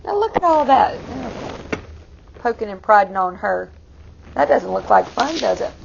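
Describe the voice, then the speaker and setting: A woman reading a picture book aloud to children, expressively, pausing to explain it in simple asides. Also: mid-range voice, vocal fry, lo-fi sound, slight background noise. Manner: expressive, explains the story to young listeners. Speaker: read-aloud storyteller for children.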